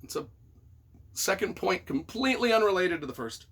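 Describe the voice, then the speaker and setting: A man's voice: high-pitched, very animated, normal speed, fairly bright tone, husky. A man reading reviews aloud and reacting off the cuff as their author, with a theatrical, emphatic, mock-exasperated delivery.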